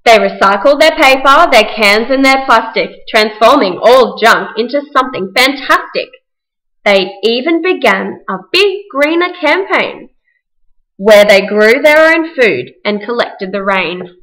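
A woman with a fairly high voice narrates a children's storybook. She reads scripted rhyming verse as the narrator of a puppet show. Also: animated, a little slow, fairly bright tone, low-quality recording.

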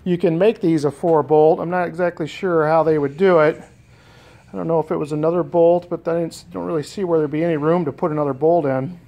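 A man's voice: a little high, fairly even intonation, brisk, muffled recording. An American man giving a casual, unscripted walkthrough as a DIY restorer, hesitant and thinking aloud. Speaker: DIY boat restorer and vlogger. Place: garage with concrete floor. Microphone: handheld camera, operator behind it.

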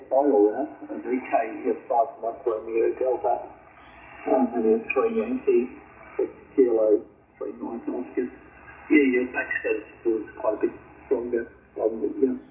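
A man talking casually as an amateur radio operator, heard over shortwave radio. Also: mid-range voice. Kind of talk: casual ham radio exchange. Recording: tinny shortwave radio, roomy playback, faint static.

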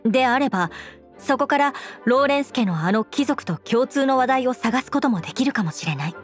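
An actress playing an earnest, composed young woman knight commander in an anime game, speaking in a formal, measured, dutiful way. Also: sits high, lively intonation, faint music behind. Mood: encouraging.

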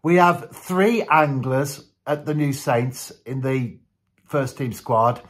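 A man's voice: mid-range voice, takes it slowly, husky. A man in his fifties from Britain, chatting enthusiastically about fishing as a guest on a radio call from his living room while wearing headphones. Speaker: radio guest and angling vlogger. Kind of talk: casual chat about fishing.

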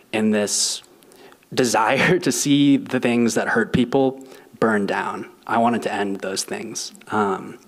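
A young man in his twenties with a fairly low voice speaks from a church lectern into a podium mic. He pauses and restarts often, filling gaps with soft ums as he works through a heartfelt personal story. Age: 20s. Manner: thoughtful, halting with frequent ums and restarts. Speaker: guest speaker. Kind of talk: personal testimony at a service.

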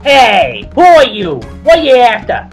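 A man's voice: extremely high pitch, big pitch swings, measured pace, faint music behind, full character voice. A man voicing a dopey, hesitant big cartoon creature in an amateur comedy dub, rambling as he goes. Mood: hesitant, puzzled.

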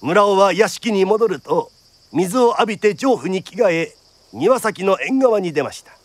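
A man's voice: sits high, expressive, a little slow, no room sound. A man narrating a period radio drama like a theatrical storyteller.

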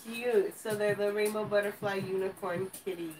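A woman's voice: mid-range voice, fairly even intonation, normal speed, recorded from a distance. A woman of about thirty with an American accent, hosting a casual livestream chat for viewers. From Los Angeles, United States. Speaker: video channel host. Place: home kitchen, indoors. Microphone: camera a few feet away. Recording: roomy pickup away from the mic, music behind.

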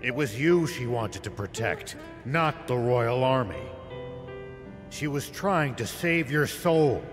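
A man playing a stern, principled old veteran in a video game, measured and solemn. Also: medium pitch, lively intonation. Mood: solemn conviction. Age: older. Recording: long reverb under music.

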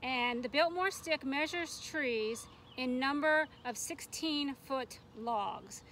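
High-voiced American woman teaching outdoors. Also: slow, fairly bright tone. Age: middle-aged. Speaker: extension forester, instructor. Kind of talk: informal instructional demonstration. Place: outdoors in a grassy, tree-lined park. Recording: outdoor ambient noise.

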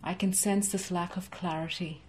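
A young woman coaching a guided tapping session from her home office, speaking in slow, soothing, rhythmic repeated phrases for the listener to echo. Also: on the low side, fairly even intonation, darkish tone. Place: home office with framed certificates, indoors.

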